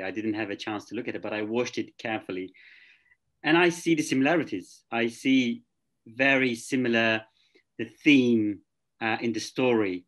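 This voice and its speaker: A man's voice: medium pitch, fairly bright tone. A man moderating a film festival Q&A over a video call, asking questions with frequent restarts and fillers. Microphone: video call laptop mic.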